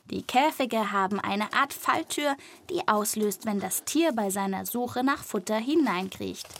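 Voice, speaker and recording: A woman presenting a children's podcast as an animal reporter, in lively scripted narration addressed to young listeners. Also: medium pitch, measured pace, creaky, a little hiss or hum.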